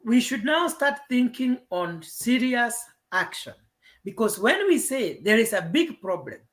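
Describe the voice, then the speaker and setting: Earnest Kenyan woman, higher voice. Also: big pitch swings, unhurried. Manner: earnest, mixes English and Swahili. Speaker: panelist. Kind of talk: political discussion.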